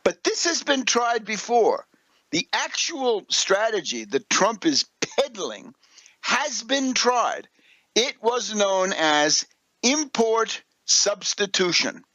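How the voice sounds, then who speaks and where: A man hosts a political radio monologue in a somewhat high-set voice. He lectures didactically, posing questions and checking that the point lands. The sound is close and dry, with very little room around it. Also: very animated, slow pace, bright, forceful tone, dry recording, low-quality recording. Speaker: radio show host and political commentator. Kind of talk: political and economic commentary monologue.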